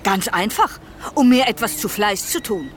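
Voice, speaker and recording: A woman's voice: medium pitch, lively intonation, normal speed, a bit bright, slight background noise, natural voice. A woman playing a suspicious, aggrieved, obstinate wife in a radio drama, accusing and indignant, with an Austrian accent.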